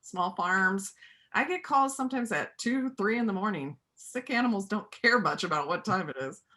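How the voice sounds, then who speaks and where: A woman performing a reader's theater part as a gentle but firm pacifist country vet, earnest and plain-spoken. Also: mid-range voice, animated, normal speed. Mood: reflective. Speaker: amateur church play performer.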